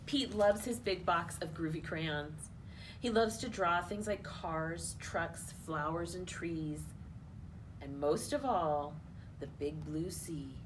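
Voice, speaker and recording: A woman hosting a children's storytime, reading a picture book aloud in an expressive, playful way. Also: on the low side, slow pace, noisy background. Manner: animated, expressive, playful asides. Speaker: children's storytime host at a library.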